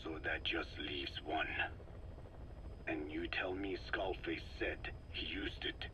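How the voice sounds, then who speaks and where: An actor voicing a grave, wise old man in a video game, speaking in a hushed, breathy whisper with solemn, deliberate phrasing. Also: very deep voice, fairly even intonation, noisy background. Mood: solemn, probing. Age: older. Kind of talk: scripted video game dialogue.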